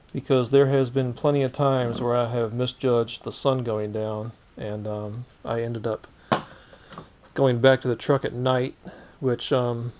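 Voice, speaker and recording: A man casually walking through his gear item by item, as the host of a hands-on fishing vlog. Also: mid-range voice. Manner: informal, explaining item by item. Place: indoors in a cluttered garage. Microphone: head-mounted action camera. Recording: clicky, noisy action-camera audio.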